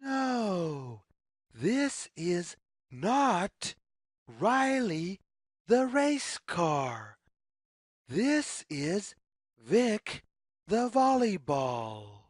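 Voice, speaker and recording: A woman reading a story aloud for young children, phrasing deliberately like a teacher and putting questions to the listener. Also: mid-range voice, very animated, dry recording, clean studio sound. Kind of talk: children's story read-aloud, scripted narration.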